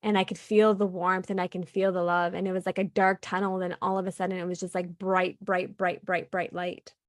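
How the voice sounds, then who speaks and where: A woman's voice: fairly low pitch, level tone, fairly quick, no echo, clean studio sound. A woman from Canada, a guest on an interview show, telling a personal story in an earnest, reflective way with many fillers. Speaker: podcast guest.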